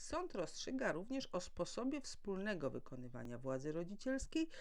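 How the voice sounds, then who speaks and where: A woman delivers a formal educational talk in a lowish voice with a noticeable creak. Her intonation moves widely as she explains and enumerates points like a teacher of law. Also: sing-song, moderate pace. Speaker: legal educator and mediator. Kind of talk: prepared explanatory legal lecture.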